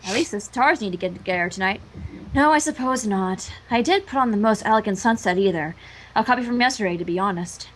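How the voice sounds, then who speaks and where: A woman's voice: medium pitch, quick pace, slight background noise, close to an everyday voice, poor audio. A woman voicing a regal pony princess in a fan reading, gracious and playfully teasing, with a theatrical, courtly delivery. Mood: weary, wry.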